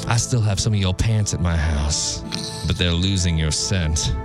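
A man playing a lovesick ex-husband reading a pleading letter in a sultry, drawn-out, melodramatic way. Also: deep voice, loud music behind the voice, pitched below the performer's own voice, close to an everyday voice.

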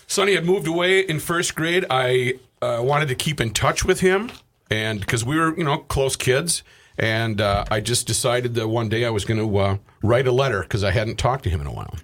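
A man with a husky voice co-hosting a radio show, reminiscing casually with joking, self-mocking interjections. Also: mid-range voice, expressive, moderate pace, a bit bright.

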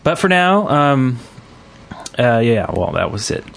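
A man hosting a podcast in a casual, halting way with frequent fillers. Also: mid-range voice, sing-song, creaky, a bit bright. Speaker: podcast host.

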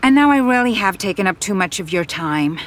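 An excitable young woman tourist in an audiobook romance, voiced by a female narrator. She is pert and effusive, with a romantic, gushing way of talking. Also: medium pitch, normal speed, a little hiss or hum, natural voice. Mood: teasing. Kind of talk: audiobook character dialogue.

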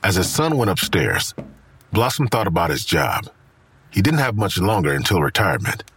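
A man narrating a crime audio drama as a brooding storyteller, with a gravelly, husky voice. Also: low-pitched, very animated, moderate pace, fairly bright tone, no room sound, full character voice. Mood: somber. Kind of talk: scripted narration.

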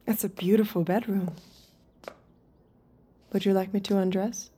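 A woman playing an obedient, observant android escort, speaking in a measured, intimate way in an audio drama. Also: medium pitch, fairly even intonation, dark tone, slightly rough. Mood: polite, compliant. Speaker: narrator and lead character.

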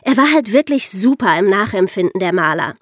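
A woman's voice: medium pitch, expressive, fairly quick, dry recording, studio quality. A woman hosting a podcast, explaining things in a storytelling way with rhetorical questions. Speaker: podcast host.

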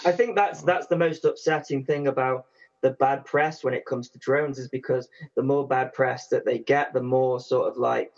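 A man's voice: mid-range voice, steady tone, moderate pace, lo-fi sound. A man in a casual chat, thinking aloud in a conversational way.

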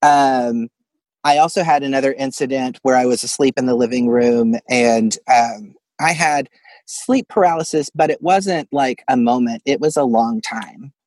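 A man's voice: medium pitch, normal speed, a little mellow, no room sound. A man from Arkansas calling in to tell a personal story in a casual, chuckling way. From Arkansas, United States. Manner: chuckles through his story. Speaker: caller telling a personal ghost story. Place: closet at home.

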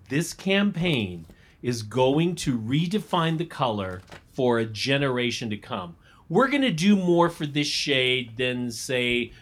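Slow, animated pitchman. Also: full character voice. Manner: salesy, dramatic pauses. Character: grandly earnest male PR pitchman. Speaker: publicist. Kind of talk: audio comedy drama acting.

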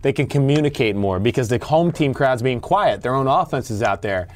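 A man's voice: mid-range voice, animated, fairly quick, a little mellow. A man co-hosting a sports podcast in casual chat, joking, teasing and swearing freely. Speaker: podcast co-host.

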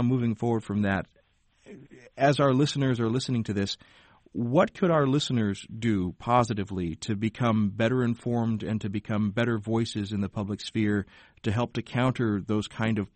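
Low-voiced man hosting a radio talk show. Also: darkish tone, no room sound. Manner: measured, thoughtful questions with restarts. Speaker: radio host. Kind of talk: public radio interview.

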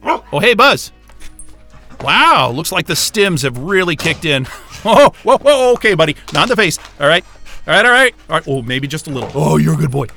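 An actor playing an exasperated, sarcastic but soft-hearted astrophysicist in a naturalistic science-fiction audio drama. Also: male voice, fairly high pitch, big pitch swings, moderate pace, vocal fry, soft background music, full character voice. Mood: playful, excited. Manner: sarcastic, muttering, casual swearing.

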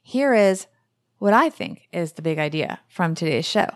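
A woman's voice: lowish voice, very animated, moderate pace, no echo, clean studio sound. A woman in her forties hosting a podcast, chatting casually and giving upbeat wrap-ups. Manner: upbeat, plugging and summing up. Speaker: podcast host.

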